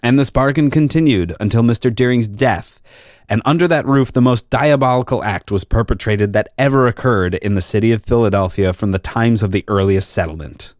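A man's voice: mid-range voice, a little fast, no echo, studio quality. A man narrating a historical crime account as a scripted dramatic reading.

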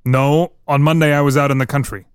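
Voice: medium pitch, fairly even intonation, brisk, clear, resonant tone, dry recording, clean studio sound, natural voice. An actor reading the part of an evasive prisoner, a German immigrant murder suspect, giving short guarded answers in a dramatic reading. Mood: evasive, terse. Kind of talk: dramatic reading of an interrogation transcript.

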